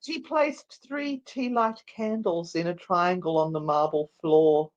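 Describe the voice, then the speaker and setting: A woman narrating a story as a dramatic live read, deliberate and theatrical. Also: fairly low pitch, highs cut off. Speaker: narrator and co-host.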